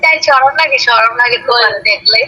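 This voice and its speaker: Bright, high-voiced woman on a phone call. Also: brisk. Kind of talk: casual chat on a phone call. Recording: faintly noisy phone call recording.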